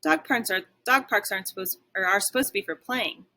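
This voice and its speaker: A woman reading a children's story aloud like a teacher, with expressive narration. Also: mid-range voice, brisk.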